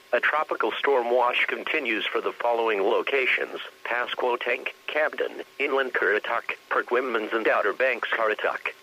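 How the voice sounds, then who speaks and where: A man's voice: mid-range voice, bright, forceful tone, poor audio. A synthetic male voice reading a scripted weather warning bulletin over the radio in a stiff, formal way. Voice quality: synthetic text-to-speech voice. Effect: radio broadcast.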